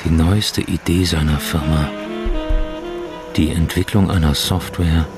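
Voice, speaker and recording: A man speaking the quiet, brooding inner monologue of a radio play character. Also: very deep voice, steady tone, unhurried, over music. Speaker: protagonist's inner voice.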